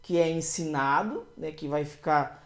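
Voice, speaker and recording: A man's voice: a little high, measured pace, echoey room. A man from Brazil, a pastor hosting a church podcast, asking long winding questions in an earnest way. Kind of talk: casual podcast interview.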